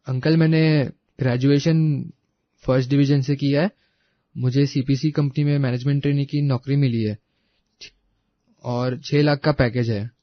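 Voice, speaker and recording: A man's voice: mid-range voice, steady tone, normal speed, dry recording, dull audio, lightly acted. A man playing an earnest, devoted young man in love in a story. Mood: respectful, nervous.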